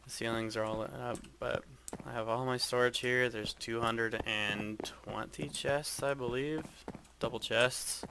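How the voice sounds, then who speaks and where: A man giving a casual, hesitant walkthrough of his game base, speaking in a flat monotone with frequent ums. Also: mid-range voice, measured pace, creaky, background noise. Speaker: gaming video creator.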